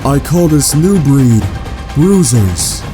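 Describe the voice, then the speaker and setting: A man's voice: mid-range voice, sing-song, takes it slowly, over music. Man voicing a coldly logical robot scientist villain. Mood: clinical. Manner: clinical, precise, formal, condescending. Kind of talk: scripted audio log monologue, fan-made sci-fi drama.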